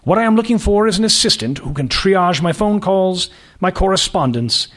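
A man reading the part of a haughty, commanding magazine editor with an aristocratic accent, speaking slowly and clipped. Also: high-pitched, lively intonation, a bit bright, pitched above the performer's own voice. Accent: upper-class East Coast with British touch.

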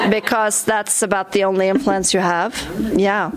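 Swedish-accented woman, visiting author at a library Q&A, chatty, self-deprecating, quick to laugh. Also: medium pitch, little pitch movement, over a noisy crowd, rough recording. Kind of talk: talk and Q&A with an audience. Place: library event. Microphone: recorded for a podcast.

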